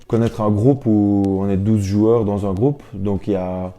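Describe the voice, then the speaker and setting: A young man answers interview questions as a sportsman. His voice sits on the low side and is deeply mellow and dark. He talks informally and reflectively, with music playing behind him. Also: steady tone, a little slow. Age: young adult. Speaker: professional basketball player, interview guest. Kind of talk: casual interview talk.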